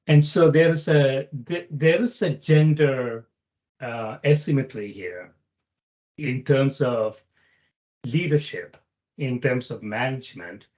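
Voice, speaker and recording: Man, interview host, average pitch, conversational rambling, muffled recording. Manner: rambling, loosely builds his argument. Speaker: podcast host.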